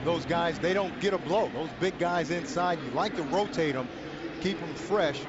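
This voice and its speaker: A high-voiced man gives plain-spoken, emphatic color analysis of football plays. The broadcast sound is muffled and dull. Heavy noise sits behind him. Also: normal speed. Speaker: color commentator, former player. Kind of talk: sports color commentary.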